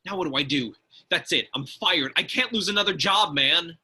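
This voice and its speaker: A man playing an anxious, easily panicked delivery driver in a comic table read, with an excitable, whiny, exasperated delivery. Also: a little high, normal speed, husky, full character voice. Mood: panicked, upset.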